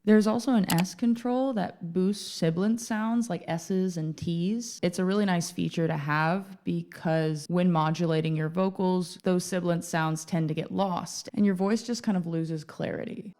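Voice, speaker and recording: Lady doing a chill tutorial, mellow kinda low voice, lots of fry, chatty. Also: fairly even intonation, normal speed, hardly any pauses, soft background music. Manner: informal, chatty, playful asides. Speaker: video host and music gear reviewer. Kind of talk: casual tutorial narration.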